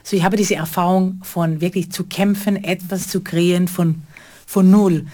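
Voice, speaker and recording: Middle-aged choreographer, earnest and halting interview answers, lowish voice. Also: female voice, steady tone, mellow. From Israel. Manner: halting, non-native grammar, earnest. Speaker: choreographer and theater co-founder.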